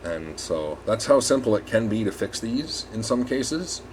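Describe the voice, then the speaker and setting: A man's voice: lowish voice, very animated, measured pace, slight background noise, low-quality recording. A man giving a casual hands-on repair demonstration, rambling and thinking aloud as he works. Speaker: RV repair technician presenting a tutorial.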